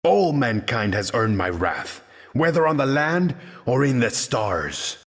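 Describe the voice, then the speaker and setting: A man's voice: medium pitch, very animated, takes it slowly, a bit bright, full character voice. An actor voicing a haughty, wrathful sea king in a video game, imperious and formal. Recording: long echoey hall reverb.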